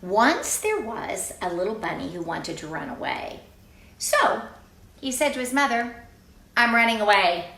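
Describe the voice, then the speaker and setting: An older woman reading a picture book aloud to children in an informal way, with chatty, exclaiming asides and drawn-out emphasis. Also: on the high side, big pitch swings, vocal fry, a bit bright, roomy sound.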